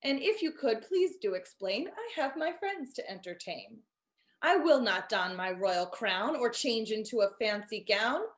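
Woman as proud queen, children's storybook, higher voice, firm, scolding, defiant, declamatory. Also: animated, a little slow. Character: proud, defiant adult queen who loves comfort. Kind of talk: children's storybook character reading.

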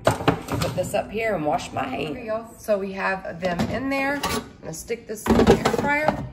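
A woman's voice: medium pitch, animated, normal speed, heavy background noise. A woman, talking viewers through a recipe in a casual, folksy way in her home kitchen. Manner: chatty, folksy, addresses viewers as y'all. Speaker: home cooking vlogger. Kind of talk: casual cook-along talk to camera.

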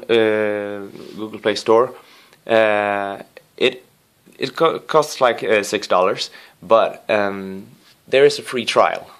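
A man with a fairly low voice talks very slowly through a casual hands-on gadget review. He rambles and slips in apologetic asides, with a playful, excitable streak. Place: living room with wooden floor and rug.

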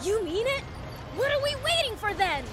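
A woman's voice: very high-pitched, sing-song, normal speed, loud music behind the voice. A woman playing a brash, impish young demon prince in a video game. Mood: excited.

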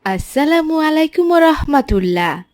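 A polite, keen cartoon schoolboy, played by a woman, with a high voice that moves up and down animatedly. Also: very animated, normal speed. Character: polite, keen young schoolboy who saves his pocket money. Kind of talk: children's moral cartoon dubbing.

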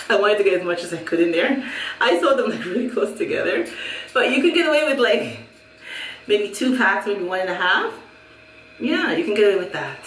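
A woman's voice: medium pitch, very animated, normal speed, pauses often, distant mic, slight background noise. A middle-aged Jamaican woman reviewing a wig in a casual, chatty way, laughing often and repeating herself. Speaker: hair vlogger. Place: small room at home, indoors. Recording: echoey room, a little off mic.

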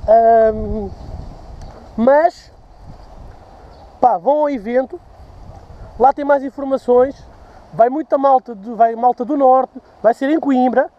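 A man's voice: very high voice, takes it slowly, pauses often, highs cut off, slight background noise. A man in his thirties with a European Portuguese accent, vlogging outdoors on a dirt path, talking loosely to a handheld camera while walking and drinking a beer, swearing freely. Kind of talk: casual vlog to camera. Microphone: handheld camera at arm's length.

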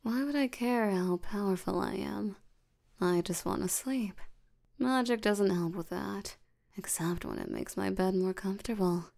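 Woman acting as a lazy female sloth demon, drowsy drawl, gravelly fry, mellow and soft, unbothered, ASMR roleplay. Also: mid-range voice, measured pace. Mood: drowsy, nonchalant. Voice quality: soft, breathy, creaky tired voice. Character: sleepy, lazy female sloth demon, ancient but youthful.

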